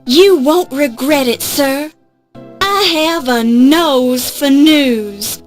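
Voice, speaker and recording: A woman's voice: high-pitched, very slow. An actress playing an eager, polite young woman starting out as a reporter in an adventure game, with music behind her. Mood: earnest. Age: young adult. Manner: earnest, polite, determined. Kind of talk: scripted adventure game acting. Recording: music under the voice.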